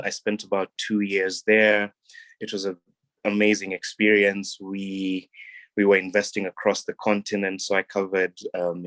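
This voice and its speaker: A young man from Kenya, a guest on an interview show, talking in a relaxed, reflective way with frequent ums and restarts. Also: on the low side, no echo. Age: young adult. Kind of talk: casual interview talk.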